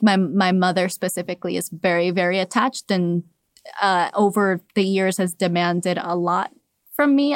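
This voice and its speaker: A woman's voice: on the low side, no echo, clean studio sound. A young adult woman from Cuba, raised in Miami, telling personal stories as a podcast guest, reflective and laughing often between thoughts. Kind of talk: personal storytelling in an interview.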